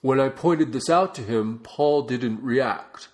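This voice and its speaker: A man reading a non-fiction book aloud as a narrator, with measured, deliberate phrasing. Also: mid-range voice. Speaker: audiobook reader.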